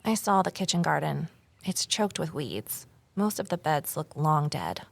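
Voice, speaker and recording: An actress playing an inquisitive amateur sleuth woman in an audio drama, expressive and pointed in her delivery. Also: sits low, a little slow, creaky, heavily acted. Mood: somber. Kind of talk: full-cast audio drama acting, narration and dialogue.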